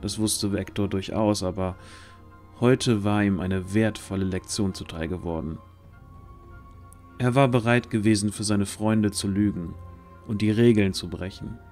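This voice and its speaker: A man narrates a fantasy audio drama in a fairly low voice. The delivery is scripted storytelling, with quiet music underneath. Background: faint music.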